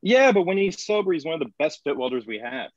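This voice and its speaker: A man's voice: fairly high pitch, very animated, fast, highs cut off. A man playing a cocky, irreverent colonial governor in a screenplay table read, flippant and sarcastic. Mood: breezy. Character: cocky, irreverent male colonial governor who banters crudely.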